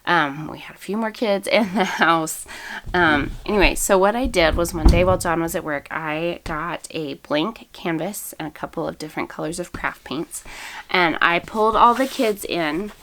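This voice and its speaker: A woman's voice: on the low side, moderate pace, fairly bright tone, a little hiss or hum. A woman in her thirties, a mother of eight with a slight cold that makes her a little froggy, chatting casually through gift ideas with many ums. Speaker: family vlogger, mother of eight. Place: home, indoors.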